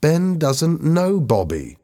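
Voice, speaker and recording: A man's voice: medium pitch, huge pitch swings, a little fast, dark tone, voice pushed up. A man voicing an exasperated, sarcastic teenage girl in a story, challenging and exclaiming. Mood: reproachful.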